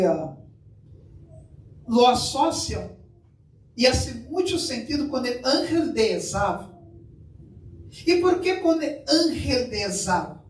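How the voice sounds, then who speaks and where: Slow-speaking Brazilian preacher in an echoey room. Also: male voice, extremely high pitch. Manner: mixes Portuguese and Spanish, asks the congregation questions. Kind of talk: sermon. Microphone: far from the mic. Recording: echoey room, mic far off, faint noise.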